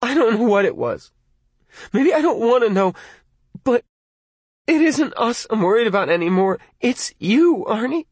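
A man's voice: high-pitched, sing-song, a little slow, breathy edge, dry recording, voice pushed up, exaggerated voice. A man voicing a tearful pleading teenage girl. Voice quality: wavering, tearful.

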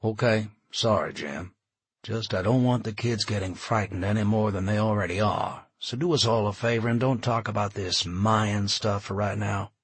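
A man's voice: fairly low pitch, fairly even intonation, moderate pace, husky, no echo. Man voicing a tense, stern small-town official. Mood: tense, hushed. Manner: tense, hushed, forceful.

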